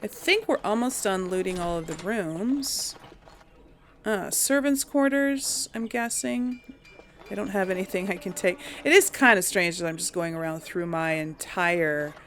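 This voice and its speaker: A woman's voice: fairly low pitch, gravelly, fairly bright tone, slight background noise. A woman giving casual, rambling video game commentary, thinking aloud hesitantly and poking fun at herself. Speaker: gamer, let's play host.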